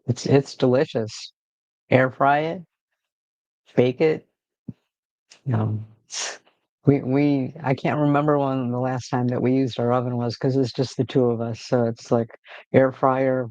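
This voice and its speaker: A man hosting a casual livestream, rambling in a relaxed way with frequent fillers and restarts, talking from home. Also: medium pitch, no echo, muffled recording. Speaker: livestream host.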